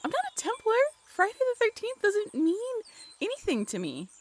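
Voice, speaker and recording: A woman voice actor playing a playful, teasing young woman in an intimate, conversational roleplay audio drama, expressive and a little halting. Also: very high voice, exaggerated voice. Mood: flustered, confused.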